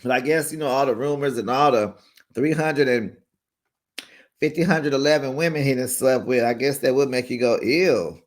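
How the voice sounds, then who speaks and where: A man's voice: mid-range voice, normal speed. A man hosting a casual recap show, chatty and gossipy, acting out quotes in a raised voice and laughing along.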